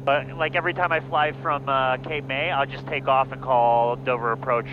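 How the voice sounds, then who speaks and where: A man's voice: medium pitch, fairly even intonation, moderate pace. An American man talking as a private pilot over an aircraft headset in a small plane, in casual chat and radio calls. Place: small plane cockpit in flight. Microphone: aviation headset. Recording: dull noisy aircraft intercom, engine hum.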